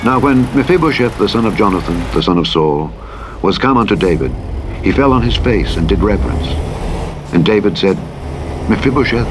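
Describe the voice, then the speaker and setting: A man reads scripture solemnly as a narrator, in a measured, formal delivery. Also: on the low side, animated. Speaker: Bible audiobook narrator. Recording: clear engine and vehicle noise. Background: truck simulator game driving sounds.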